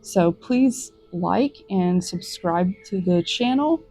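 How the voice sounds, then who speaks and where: Slow, gentle woman giving a tarot reading. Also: on the low side, animated, faint music behind, rough recording. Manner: gentle, reflective, encouraging, with small restarts. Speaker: tarot reader. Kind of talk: unhurried spoken tarot reading to viewers. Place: outdoors in a forest.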